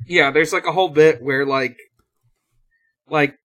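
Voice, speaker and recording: A podcast host casually recapping a show in a halting, hesitant way. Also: mid-range voice, steady tone, fairly bright tone. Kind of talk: casual episode recap chat.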